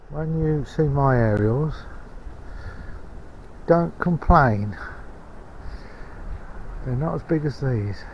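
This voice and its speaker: Gravelly man chatting on a windy clifftop. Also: mid-range voice, extremely slow, long pauses. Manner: chatty, points out sights as he walks. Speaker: vlogger filming a coastal walk. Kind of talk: casual running commentary. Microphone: handheld action camera. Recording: dull camera audio with outdoor wind noise.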